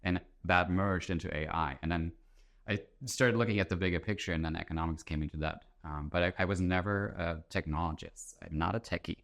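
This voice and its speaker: A man talks as a guest in an interview. His voice is low and deep, and he lays out his ideas in a thoughtful, conversational way. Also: steady tone, brisk, creaky, fairly bright tone. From Germany. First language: German. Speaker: interview guest, author and entrepreneur. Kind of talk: conversational explanation.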